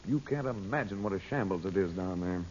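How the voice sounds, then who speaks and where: Composed, inquisitive radio hero. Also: male voice, low-pitched, lively intonation, a little fast, darkish tone. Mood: concerned. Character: composed, inquisitive male adventurer hero, magician. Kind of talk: old-time radio adventure serial acting. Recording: muffled old radio recording with hiss.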